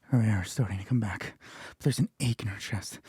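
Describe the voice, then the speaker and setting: A man narrating a solo tabletop roleplay as game master, improvising and thinking aloud in a halting way while reading out dice. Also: fairly low pitch, mellow, breathy edge, no room sound, clean studio sound.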